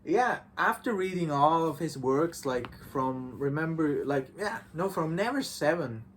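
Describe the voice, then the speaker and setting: A man talking casually and enthusiastically as a visual novel reviewer, halting and repeating himself with false starts. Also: fairly high pitch, lively intonation. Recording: clearly noisy background.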